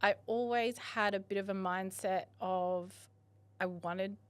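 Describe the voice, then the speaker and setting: A woman's voice: mid-range voice, fairly even intonation, unhurried, smooth, dry recording. A young Australian woman from Western Australia, chatting casually as a podcast guest, laughing easily and quoting herself. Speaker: podcast guest, business owner. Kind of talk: casual interview chat.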